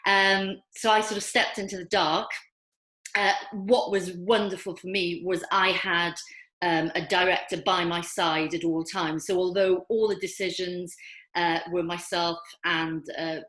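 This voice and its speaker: An older woman with a Welsh accent speaks in a lowish voice, pausing often with ums as she talks with enthusiasm. Her voice bounces around an echoey room. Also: level tone. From Wales. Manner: hesitant, many ums, warm enthusiastic. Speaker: filmmaker guest. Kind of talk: online festival Q&A.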